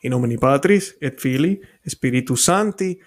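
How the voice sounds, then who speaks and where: A man's voice: mid-range voice, lively intonation, a little slow. A man hosting an interview on faith, asking his guest questions in an upbeat way. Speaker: host.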